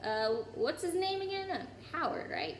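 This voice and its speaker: A woman's voice: high voice, very animated, moderate pace, pitched above the performer's own voice. A woman voicing a nervous middle-aged husband in a storybook, hesitant and mumbling, in an echoey room. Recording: roomy echo, some background noise.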